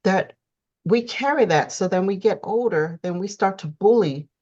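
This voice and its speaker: A woman's voice: mid-range voice, animated, normal speed, a little mellow, muffled recording. A woman hosting a talk show, speaking conversationally with frequent "you know" fillers. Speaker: podcast host and coach. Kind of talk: casual talk-show chat.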